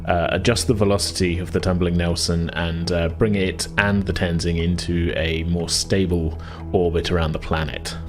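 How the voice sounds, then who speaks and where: A man's voice: low voice, flat delivery, moderate pace, over music. A man acting as game master, narrating a tabletop role-play game in a casual, informal way.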